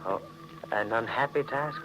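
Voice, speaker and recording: An actor playing a haunted, intense husband drawn toward murder, narrating a 1940s radio thriller in a dramatic, brooding, halting way. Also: male voice, medium pitch, natural voice, low-quality recording. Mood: eager, uneasy. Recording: old 1940s radio recording with music.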